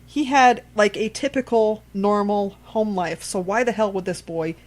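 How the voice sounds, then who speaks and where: A woman's voice: mid-range voice, normal speed. Blunt woman chatting casually about films. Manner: blunt, salty language. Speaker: podcast co-host. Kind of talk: casual film discussion.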